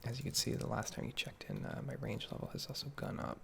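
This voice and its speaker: A man giving a casual, soft-spoken ASMR ramble over gameplay, hesitant and understated as he meanders from thought to thought. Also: medium pitch, fairly even intonation, fast, vocal fry. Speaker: gaming video creator. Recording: faint background noise, keyboard typing, clicking. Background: soft computer keyboard typing and mouse clicks.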